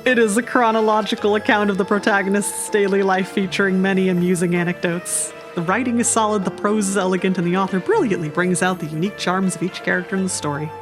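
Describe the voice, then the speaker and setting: A woman gaming host with a medium-pitched voice, informal and given to giggling. She comments on the game and reads from it, with faint game music behind her. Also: steady tone, quick pace, hardly any pauses. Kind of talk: casual game playthrough commentary and reading dialogue aloud.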